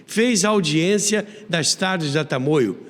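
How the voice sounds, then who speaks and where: A Brazilian man delivers scripted documentary narration as host. His pitch sits fairly high and moves a lot, while his pace is slow and measured, with a long reverb trailing the voice. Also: animated. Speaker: host and narrator of a radio history series.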